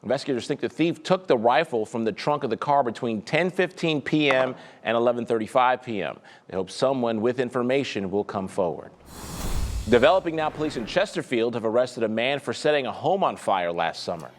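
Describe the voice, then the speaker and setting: A man anchoring a TV newscast, reading news copy in a steady, formal way. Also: mid-range voice, a little fast.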